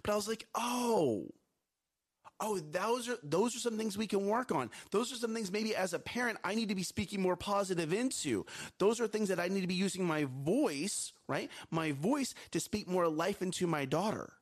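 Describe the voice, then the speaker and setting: A man's voice: high-pitched, lively intonation, normal speed, husky. A man in his thirties co-hosting a live morning show, giving an earnest motivational talk with a strong voice, often repeating and restarting words. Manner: strong voice that carries.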